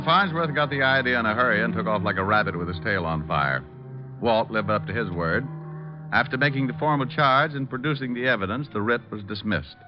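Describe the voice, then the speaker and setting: A man's voice: on the low side, lightly acted. An American man playing a cocky, wisecracking private detective in 1940s radio drama style, wry and flippant. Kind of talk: 1940s radio drama acting and first-person narration. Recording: dull old radio recording with music.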